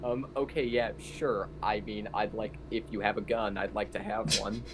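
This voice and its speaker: Lowish-pitched man in casual gaming chat. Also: steady tone, normal speed. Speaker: player in a tabletop roleplaying game. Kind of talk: casual game-table chat. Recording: faint background noise.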